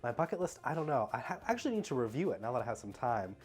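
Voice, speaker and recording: A young man with a stuffy nose, talking casually at home in a rambling, halting way full of fillers. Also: mid-range voice, sing-song, a little mellow, soft background music. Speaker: travel vlogger. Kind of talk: casual vlog Q&A.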